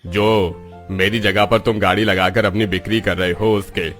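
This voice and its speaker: A man's voice: lowish voice, flat delivery, moderate pace, fairly bright tone, soft background music, close to an everyday voice. A man voicing a scheming, greedy rich moneylender in a cartoon moral story. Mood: sly, smug.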